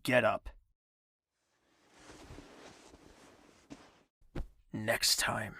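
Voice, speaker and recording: A man playing a brooding, menacing young prince in an audio drama, speaking in a measured, gravelly, husky voice. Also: on the low side, little pitch movement, sharp tone, a little hiss or hum. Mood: cold menace. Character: brooding, menacing young prince, tender toward his mother.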